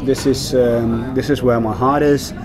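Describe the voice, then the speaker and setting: A man's voice: medium pitch, fairly even intonation, unhurried, crowd noise. A Danish man working as a whisky brand ambassador, speaking English in a casual interview, with many fillers and repeated words. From Denmark.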